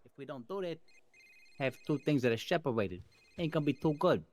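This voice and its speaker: A man's voice: on the high side, expressive, fairly quick, darkish tone. A man improvising as a mobster in a game roleplay, with winding, profane wise-guy phrasing, over noisy voice chat. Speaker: player in a crime roleplay game. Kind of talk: improvised in-character mobster talk.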